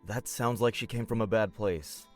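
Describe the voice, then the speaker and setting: A man's voice: on the low side, normal speed, faint music behind, natural voice. A man acting a cynical, protective and hot-tempered young older brother in an audio drama. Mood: stern, worried.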